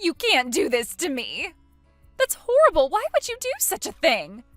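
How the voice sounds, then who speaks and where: A woman voicing a shrill, entitled young woman who is spoiled and indignant. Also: huge pitch swings, moderate pace, no room sound, faint music behind. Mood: pleading. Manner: shrill, outraged, whiny.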